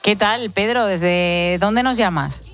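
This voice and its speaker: A woman with a Castilian Spanish accent hosting a radio phone-in in lively, casual chat. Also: medium pitch, slow pace, faint music behind.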